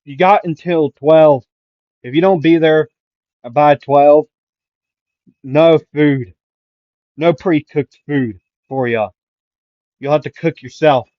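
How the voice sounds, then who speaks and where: A man acts out a stern male hero teacher in an improvised story. He is blunt and commanding, with a dry, no-nonsense temper and slow delivery. The recording sounds dull and lo-fi. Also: fairly low pitch, fairly even intonation, dry recording, natural voice.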